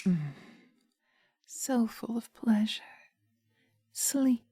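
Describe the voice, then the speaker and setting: A woman playing a seductive, vengeful witch in slow hypnotic audio roleplay, breathy and often whispering, teasing and commanding, close to the mic. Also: a little high, warm tone, no room sound, pitched above the performer's own voice, exaggerated voice. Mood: soothing, hypnotic. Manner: sultry, drawn-out, teasing, commanding. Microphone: close microphone, dry binaural recording.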